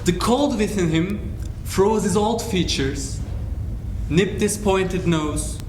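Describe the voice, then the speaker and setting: A man narrating a dramatized literary reading in a theatrical, deliberate, declamatory way. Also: high-pitched, a little mellow. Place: hall, live stage reading. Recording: echoey hall with clear noise.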